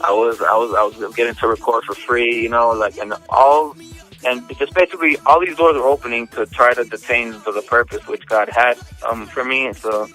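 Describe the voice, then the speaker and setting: A man telling his personal testimony in a casual, slangy interview, halting and repeating words as he goes. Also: medium pitch, steady tone, sharp tone, low-quality recording. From United States, born in New York, raised in Miami. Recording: phone interview with music behind.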